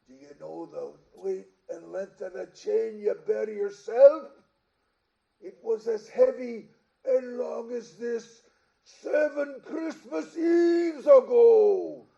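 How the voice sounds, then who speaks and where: Slow, high, wailing ghost. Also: male voice, vocal fry, mellow, dull audio, heavily acted. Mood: anguished, accusing. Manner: slow, solemn, wailing declamation. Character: tormented, mournful ghost of a dead businessman in chains. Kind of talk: 1930s radio play acting.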